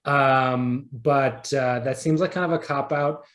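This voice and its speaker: A man's voice: medium pitch, fairly even intonation, unhurried, fairly bright tone. A man speaking as a podcast guest in casual interview chat, enthusiastic and rambling, often starting over and repeating words. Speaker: podcast guest, audio drama writer.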